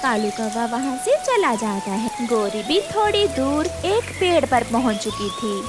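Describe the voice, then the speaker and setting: High, animated woman storyteller. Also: measured pace, over loud music. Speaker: narrator. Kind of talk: scripted children's moral story narration.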